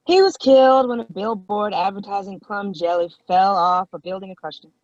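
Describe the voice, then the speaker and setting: A woman acts a morbid donut baker steeped in tragedy. She delivers it deadpan in a mid-range voice for a comedy read, on a muffled, close recording. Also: medium pitch, normal speed, no echo, lightly acted. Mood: solemn, deadpan. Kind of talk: comedy script table read. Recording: slightly dull, lo-fi, dry sound.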